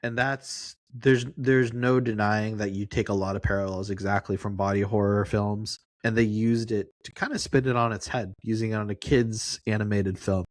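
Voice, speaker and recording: A man's voice: fairly low pitch, steady tone, normal speed, hardly any pauses, no room sound. A man co-hosting a film podcast, talking casually and circling his way through his explanations.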